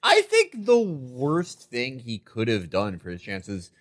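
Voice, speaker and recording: A man's voice: mid-range voice, huge pitch swings, slow pace, no room sound. An American man co-hosting a casual political comedy podcast, ranting with plenty of profanity. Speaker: podcast co-host.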